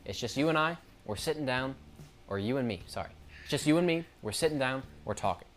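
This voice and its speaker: Man, rapid talker, rambling, self-correcting, average pitch. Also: lively intonation, slight background noise. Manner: rambling, corrects himself mid-sentence; stutters now and then. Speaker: YouTuber. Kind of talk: unscripted casual monologue to camera.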